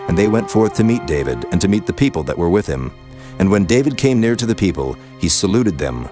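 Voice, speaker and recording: Casting: man with a very deep voice for measured, solemn scripture narration over clear background music. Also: sing-song.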